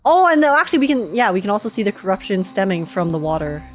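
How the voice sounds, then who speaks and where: A young woman streamer giving casual, slangy live reaction commentary on a game, expressive and emotionally invested. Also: mid-range voice, fast talker. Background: game music.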